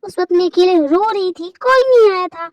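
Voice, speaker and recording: Woman as a fragile, clingy young wife; high voice, tearful and pleading. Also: a little fast, dry recording. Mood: bitter.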